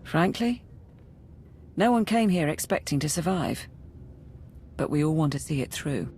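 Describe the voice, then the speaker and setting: An efficient intelligence aide in a sci-fi game. The voice is creaky, clipped and businesslike, frank and dutiful, over faint ambient noise. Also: male voice, fairly high pitch, animated, measured pace, darkish tone. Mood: resolute.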